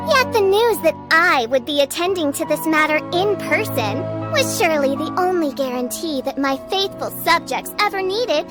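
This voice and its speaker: A haughty young princess of doom declaims in pompous, archaic phrases. It is theatrical video game acting with grandiose delivery. Music is clearly heard behind the voice. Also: female voice, very high-pitched, lively intonation, normal speed, few pauses. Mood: self-important.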